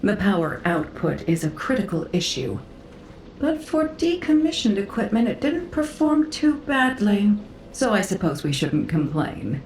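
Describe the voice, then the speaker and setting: An actress playing a coolly wry equipment researcher in a video game, analytical and dryly teasing. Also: female voice, mid-range voice, very animated, unhurried, darkish tone, slight background noise. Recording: echoey, faint music behind.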